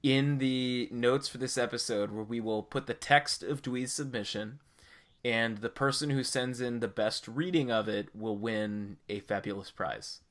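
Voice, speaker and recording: A man's voice: medium pitch, a little slow, fairly bright tone. A man hosting a podcast in a casual, conversational style. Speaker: podcast host.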